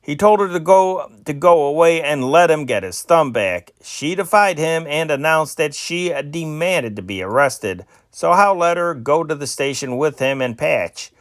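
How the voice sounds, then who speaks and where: A man's voice: on the high side, lively intonation, a little slow, few pauses, no echo, studio quality. A man reading old newspaper stories aloud as an amateur news reader, stumbling and correcting himself now and then.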